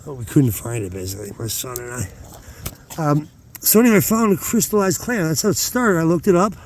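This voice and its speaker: A man's voice: on the high side, a little fast, slight background noise. A man talking casually as host of his own outdoor vlog, hesitant and meandering, often interrupting himself. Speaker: channel host. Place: outdoors at night, rockhounding.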